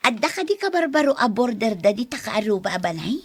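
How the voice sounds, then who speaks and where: A woman's voice: a little high, very animated, fast talker, husky, no echo, heavily acted. An actress in an Ilocano radio drama playing a giddy, smitten young woman, playful and pouty. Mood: curious.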